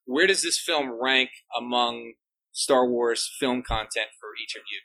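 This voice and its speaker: A man's voice: medium pitch, measured pace, bright, forceful tone, low-quality recording. A man moderating a fan convention panel, joking and often laughing as he talks.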